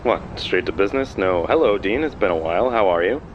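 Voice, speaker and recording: Smooth, animated, wounded male cop, acted. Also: lowish voice, fairly quick, dull audio. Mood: hurt. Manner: earnest, wounded. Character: hurt, pleading police officer ex-boyfriend. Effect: earpiece call. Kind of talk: audio drama dialogue. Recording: noisy earpiece call with street ambience.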